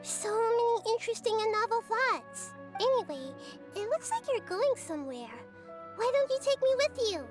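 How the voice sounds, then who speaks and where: Casting: a tiny, wise child goddess, very high, lively, soft-spoken, over game music. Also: female voice, moderate pace. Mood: gentle. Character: small childlike goddess, gentle, curious and wise. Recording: game audio with background music.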